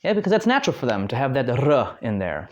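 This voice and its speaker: A man's voice: mid-range voice, big pitch swings, fast, a little mellow, lo-fi sound. A young American man from Detroit giving a casual spoken lesson, chatty, with many fillers and restarts. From Detroit, United States. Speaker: guest language learner.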